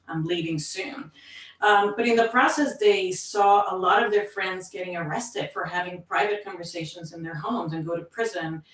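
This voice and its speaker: A woman's voice: fairly low pitch, a bit bright. A woman speaking with a Cuban accent, talking earnestly as a panelist in a discussion. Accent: Cuban Spanish. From Cuban American. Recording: echoey and distant from the mic.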